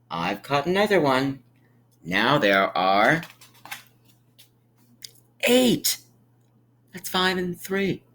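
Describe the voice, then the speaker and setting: A man reading a picture book aloud to young children like a teacher, with emphatic pauses and encouraging, friendly asides. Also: on the high side, fairly bright tone. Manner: encouraging, inviting listeners to count along; drawn-out, emphatic storytelling delivery with dramatic pauses.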